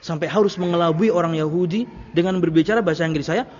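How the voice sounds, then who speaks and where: A man's voice: a little high, fast, a little mellow. A man giving an Islamic lecture to a congregation, conversational, repeating phrases and asking rhetorical questions. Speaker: Islamic preacher. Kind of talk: religious lecture to a congregation, with anecdotes. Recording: muffled, reverberant hall recording.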